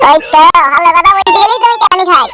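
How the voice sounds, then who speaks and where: Cheeky young son, played by a woman, quick, taunting cartoon delivery with music behind. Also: very high voice, animated. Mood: teasing. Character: cheeky, teasing young son mocking his father. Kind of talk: comedy cartoon voice acting.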